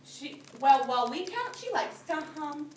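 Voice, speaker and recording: A woman reading a picture book aloud to young children as their teacher, pausing to explain things in simple phrases. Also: sits high, very animated. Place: indoor classroom. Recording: roomy, distant microphone with background noise.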